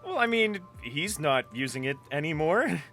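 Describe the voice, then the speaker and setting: An actress playing a smug, needling team leader and unbothered sibling in an audio drama. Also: female voice, sits low, very animated, normal speed, fairly bright tone, faint music behind. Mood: defensive.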